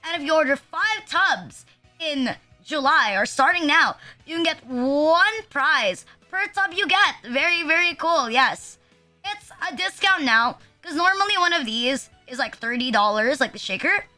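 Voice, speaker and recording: A woman's voice: high-pitched, lively intonation, unhurried, sharp tone, faint music behind. A young woman streaming with a sniffly nose, rambling casually with self-deprecating, profane asides. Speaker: VTuber streamer. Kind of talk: casual livestream chat.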